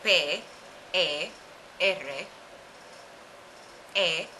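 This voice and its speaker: A woman in her forties from Cuba with a Cuban Spanish accent, answering interview questions slowly with many pauses, recorded on a webcam in a small office. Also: fairly low pitch, sharp tone, slight background noise, low-quality recording. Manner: hesitant, thinks aloud with fillers. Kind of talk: slow, careful conversational answers. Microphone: webcam at desk.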